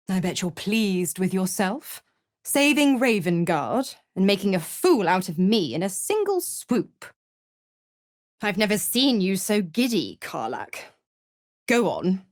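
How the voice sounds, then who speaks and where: A woman's voice: mid-range voice, darkish tone, no echo, studio quality. An actress voicing a seductive, cruel and smug female devil in a video game, with a teasing, condescending, theatrical drawl. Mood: cool, smug. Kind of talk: video game character voice acting.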